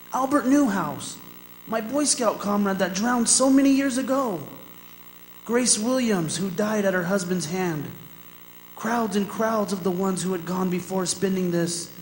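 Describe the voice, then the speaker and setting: A man's voice: high voice, slight background noise, lightly acted. An actor playing a desperate, haunted condemned man, theatrical and solemn in old-time radio drama style. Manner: theatrical, solemn, drawn-out phrasing. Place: performing arts center stage, live performance. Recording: echoey hall with music behind.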